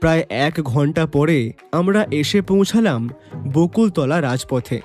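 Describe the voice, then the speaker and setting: A detective's reflective male companion narrates an audio mystery. His voice is high for a man and very lively in pitch, with expressive, atmospheric storytelling over music. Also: measured pace. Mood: expectant. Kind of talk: dramatised first-person story narration.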